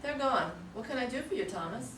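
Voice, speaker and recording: A woman in a staged play reading as an eager, lovestruck office assistant, excitable and theatrical. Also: a little high, fairly quick, close to an everyday voice. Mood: bright, innocent. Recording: distant mics, echoey room, faint noise.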